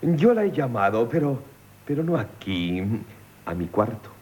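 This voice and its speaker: Pompous Spanish marquis, wildly swooping delivery. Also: male voice, mid-range voice, big pitch swings, unhurried, darkish tone. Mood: insistent. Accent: Castilian Spanish. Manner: pompous, indignant, repeats himself. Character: pompous impoverished marquis, proud of his nobility, jealous suitor. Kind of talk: theatrical 1970s radio comedy acting.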